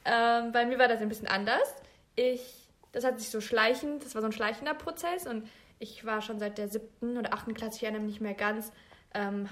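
Young woman, higher voice, supportive co-host. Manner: supportive, asks follow-up questions. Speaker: podcast co-host. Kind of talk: casual chat.

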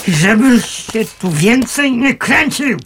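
A kindly, scrappy vagrant man in a radio play. He drawls slowly in a gravelly, mid-pitched voice, wry and colloquial. The recording carries a faint noise. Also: animated, heavily acted. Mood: angry, mocking. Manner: colloquial, gruff but gentle, wry. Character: kindly, scrappy homeless man who scavenges junk.

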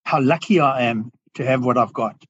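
Earnest South African man, husky voice. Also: medium pitch, a bit bright, no room sound, dull audio. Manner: earnest, reflective, halting with restarts. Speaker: guest business owner. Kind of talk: podcast interview.

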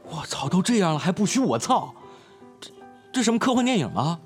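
Brash teenage boy, animated swings in pitch, slangy and teasing, audio drama with faint music. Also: brisk. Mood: astonished, teasing. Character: brash, foul-mouthed teenage schoolboy, playful and teasing. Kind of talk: Chinese audio drama acting.

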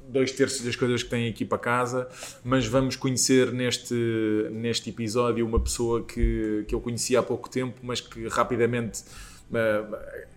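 A man with a European Portuguese accent hosting an interview, chatty and joking, ending many questions with tag questions. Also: mid-range voice. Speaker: podcast host.

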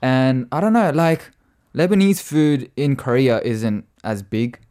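A man's voice: mid-range voice, measured pace, dark tone. A man with a mild Australian accent chatting casually and informally as a show guest. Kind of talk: casual chat.

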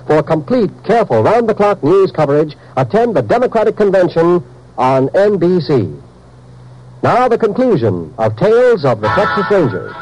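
American announcer guy, old 50s radio promo, warm dark tone, lots of swing. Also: high voice, sing-song, moderate pace, slight background noise, rough recording. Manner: formal, emphatic. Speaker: network announcer.

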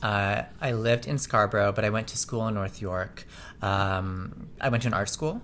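A man's voice: on the low side, moderate pace, a bit bright. Canadian man chatting over background noise. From Toronto, Canada. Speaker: interview guest, fashion designer. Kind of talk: casual chat, recounting personal history.

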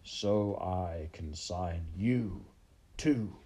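A man's voice: low-pitched, very slow, mellow. A man voicing a spiteful, commanding storybook rat villain who speaks with cold, pompous menace. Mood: gloating.

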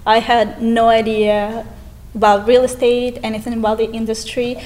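A woman's voice: on the high side, level tone, normal speed, darkish tone, rough recording. A woman in her twenties with a Russian accent, a guest on a podcast, talking cheerfully and laughing often. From Eastern Europe. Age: 20s. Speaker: guest, real estate agent. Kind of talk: casual interview chat.

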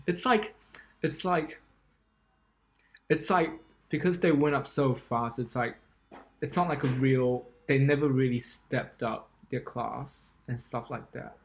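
A young man from Taiwan speaks at a mid-range pitch as a podcast co-host. He rambles loosely through his points, stacking fillers and restarting sentences. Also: takes it slowly, creaky. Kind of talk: casual chat.

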